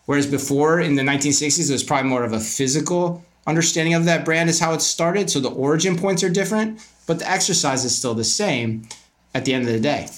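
A man's voice: a little high, fast talker. A man speaking conversationally as a podcast guest, giving emphatic opinions and posing rhetorical questions as he explains retail business. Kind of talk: conversational interview answers.

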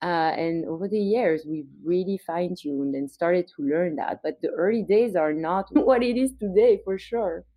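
A woman's voice: on the low side, moderate pace, few pauses, mellow, no echo, poor audio. A woman from Belgium with a Belgian accent, a brand founder telling her story as a guest in a podcast interview, chatty with small restarts.

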